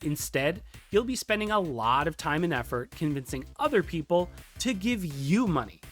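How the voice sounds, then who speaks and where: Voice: mid-range voice, big pitch swings, normal speed. A presenter narrating a scripted tutorial in a conversational, lightly playful way. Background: music.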